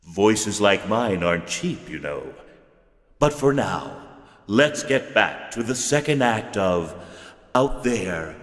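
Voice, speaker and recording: A man's voice: fairly low pitch, sing-song, takes it slowly, fairly bright tone, full character voice. A man playing a pompous, wry announcer, in the theatrical manner of an old-time radio show. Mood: exasperated. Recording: long reverberant hall sound.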